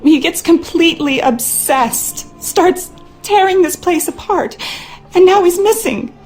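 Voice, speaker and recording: A woman's voice: very high-pitched, a little slow, darkish tone, faint music behind. An actress playing a worried, skeptical wife and mother, speaking earnestly and dramatically in a game monologue. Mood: exasperated.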